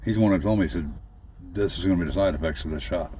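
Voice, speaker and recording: Deep-voiced man, plain-spoken, halting, casual monologue, dull lo-fi recording. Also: mellow. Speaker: vlogger giving a personal family update. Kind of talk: casual, candid personal monologue. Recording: dull lo-fi audio, faint background noise.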